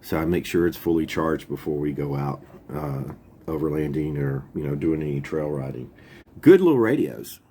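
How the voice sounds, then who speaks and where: A man's voice: a bass voice, animated, normal speed, mellow, slight background noise. A man hosting a video, explaining gear in an informal, folksy, plain-spoken way. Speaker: YouTube channel host. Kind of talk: casual product overview, explaining radio gear.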